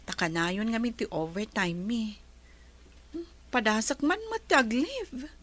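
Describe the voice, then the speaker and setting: A woman's voice: on the high side, very animated, a little slow, fairly bright tone, full character voice. A woman with a Filipino accent playing a weary young working woman in a radio drama. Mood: weary, concerned. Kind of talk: Ilocano radio drama acting.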